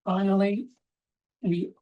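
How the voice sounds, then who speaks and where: A woman presenting in a webinar, explaining slides in a measured, formal, semi-scripted way. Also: medium pitch, level tone, phone-quality sound.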